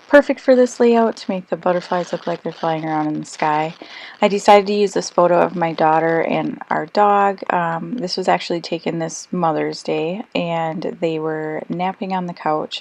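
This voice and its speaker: A woman who is slightly hoarse and losing her voice, chatting casually through a crafting voice-over with lots of ums and little self-corrections. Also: lowish voice, low-quality recording. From Australia. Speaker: scrapbooking crafter. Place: quiet room, recorded voice-over.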